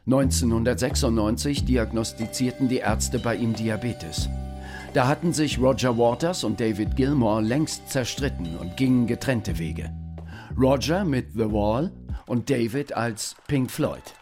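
A man's voice: medium pitch, animated, unhurried, over music, natural voice. A man reading scripted documentary narration in a factual style.

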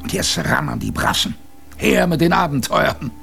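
Gravelly old seafarer telling his story. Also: fairly high pitch, animated, pushed and bright, soft background music, heavily acted. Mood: fond reminiscing. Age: older. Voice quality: gravelly, creaky. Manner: colloquial, unhurried raconteur. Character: old seafarer Peter Blackborough reminiscing about his youth. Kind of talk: radio drama narration.

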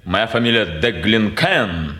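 An actor playing a vain, bored petty official who puts on gentlemanly airs, speaking in an affected, halting way. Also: male voice, lowish voice, animated, exaggerated voice. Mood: bored, conceited. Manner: affected, hesitant, conceited. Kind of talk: 1950s Russian stage drama acting. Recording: roomy old theatre recording.

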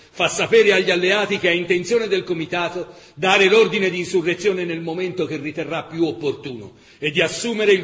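A man's voice: on the high side, fairly bright tone. A man narrating a scripted radio drama in an impassioned, emphatic, literary way. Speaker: actor narrator.

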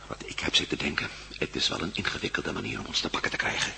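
A man's voice: low voice, fast, gravelly. A man playing a wry, brooding adventurer held in prison, in a conversational radio drama style. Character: jailed American adventurer, wry and worried, defiant. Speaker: actor in a radio play. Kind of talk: Dutch radio drama acting. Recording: radio play with faint hum.

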